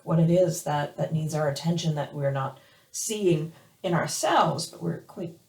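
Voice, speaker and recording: A woman hoarse from allergies giving a weekly tarot reading, hesitant with many fillers and restarts. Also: deep voice, darkish tone, far from the mic. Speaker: tarot reader. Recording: roomy, from off the mic.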